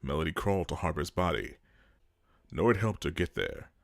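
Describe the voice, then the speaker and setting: A man's voice: low voice, big pitch swings, normal speed, creaky, dry recording. A man narrating a dramatic scripted story.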